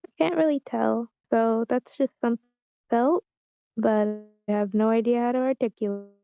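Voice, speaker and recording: A woman's voice: on the high side, moderate pace, gravelly, clean tone, no room sound, phone-quality sound. A woman giving casual feedback in a hesitant way, searching for her words. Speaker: workshop participant.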